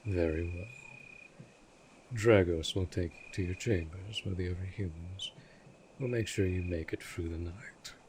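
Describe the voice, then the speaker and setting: A man playing a cold, possessive vampire master in an audio drama, speaking in a formal, commanding, measured way. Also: low-pitched, a little mellow, a little hiss or hum. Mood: curt, authoritative. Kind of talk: scripted roleplay audio drama.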